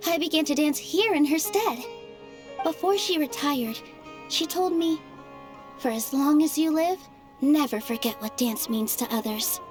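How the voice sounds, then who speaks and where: A young woman voicing a gentle dancer in a game, telling her story earnestly. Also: sits high. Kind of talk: voiced game dialogue.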